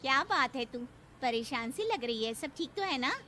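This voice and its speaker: A woman's voice: sits high, animated, moderate pace, bright, forceful tone, a little hiss or hum. A woman voicing a reassuring, gossipy middle-aged woman friend in a cartoon family drama. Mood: concerned.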